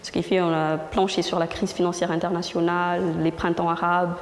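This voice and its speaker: A woman's voice: on the low side, flat delivery, fairly quick. A woman giving a testimonial interview as an international student. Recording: film replayed in echoey hall, loud music.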